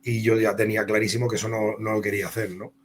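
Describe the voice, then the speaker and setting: A man's voice: on the low side, little pitch movement, quick pace, slight background noise. A man from Spain with a Castilian Spanish accent, telling career anecdotes as an interview guest in a rambling, conversational way. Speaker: comic book author and interview guest.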